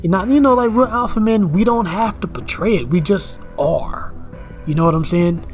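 A man in his thirties rants into a camera at home in a loose, repetitive way, circling back to the same phrases. His voice sits high for a man, and faint music plays quietly underneath. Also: normal speed. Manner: ranting, repeats words and "you know what I'm saying". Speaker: fitness vlogger. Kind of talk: casual commentary rant. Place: indoors at home, in front of a camera.

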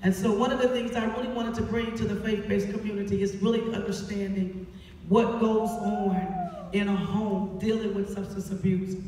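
A woman's voice: medium pitch, fairly even intonation, normal speed, warm tone, slight background noise. A middle-aged woman hosting on a theater stage, giving a live speech to introduce and thank a cast through a handheld microphone. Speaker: stage play director and host. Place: theater stage, indoors, filmed from the audience. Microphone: handheld microphone through PA, picked up by distant camera. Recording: echoey hall from far off, crowd and music.

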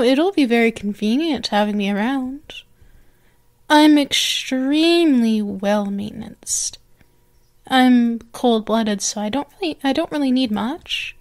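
Casting: woman to play possessive young lamia girl for ASMR roleplay. High voice, unhurried, coaxing, eager, a little hesitant. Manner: coaxing, hesitant, trailing off and restarting.

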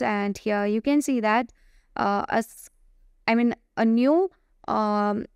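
Female software demo presenter, smooth and clear, improvised halting walkthrough, dry close recording. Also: a little high, dry recording.

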